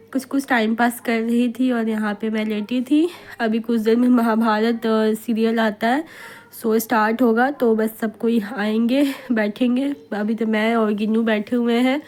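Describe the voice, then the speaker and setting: A woman in her thirties from India, hoarse with a cold, narrating her home vlog in a chatty, rambling voice-over and mixing English words into her talk. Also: fairly high pitch, darkish tone. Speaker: vlogger.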